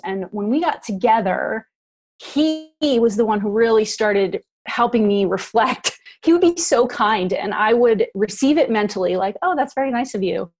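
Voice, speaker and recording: Reflective woman in her forties. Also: medium pitch. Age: 40s. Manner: candid and reflective, quick bursts with laughs. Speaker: podcast guest. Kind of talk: casual interview chat.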